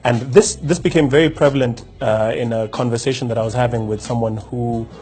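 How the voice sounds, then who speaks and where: Guy hosting a motivational video, thoughtful, lots of restarts, soft music underneath. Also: mid-range voice, fairly even intonation, fairly quick. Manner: reflective, many restarts and rhetorical questions. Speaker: host. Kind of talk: casual motivational talk to camera.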